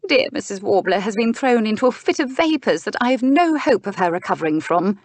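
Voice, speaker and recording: Haughty duchess as acted by a woman narrator, higher-pitched, indignant, dramatic, for a period romance audiobook. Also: animated, fairly quick, a little mellow, no echo, close to an everyday voice. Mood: indignant, distressed. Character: haughty, overwrought duchess, indignant mother of a grown son. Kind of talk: historical romance audiobook character.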